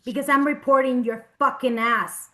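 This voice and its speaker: A woman acts out an enraged patient with a very high voice. She is combative and sarcastic, slipping between English and as she spirals through a heated drama scene. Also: steady tone, moderate pace, pushed and bright. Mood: furious, threatening. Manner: confrontational, swearing, switches between English and Spanish. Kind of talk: heated dramatic acting at a table read.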